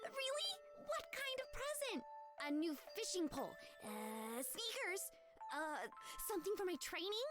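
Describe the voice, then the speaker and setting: A woman's voice: very high-pitched, sing-song, measured pace, heavily acted. A woman voicing a spirited, outspoken young tomboy girl in a video game. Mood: excited. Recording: loud music under the voice.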